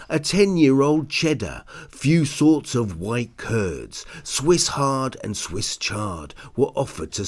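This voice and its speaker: Slow, animated male storyteller. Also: medium pitch. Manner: theatrical, deliberate. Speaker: storybook narrator. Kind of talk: rhyming children's story read-aloud.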